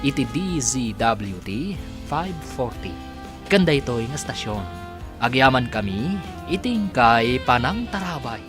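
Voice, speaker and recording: A man's voice: medium pitch, very animated, a little slow, music in the background. A man with a Filipino accent giving dramatic scripted narration as a radio drama announcer.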